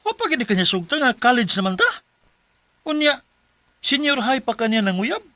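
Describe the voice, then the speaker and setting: A man's voice: very high-pitched, moderate pace, dry recording, voice pushed up, heavily acted. A man voicing a teasing young male friend who pokes fun at the lead. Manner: teasing, excited.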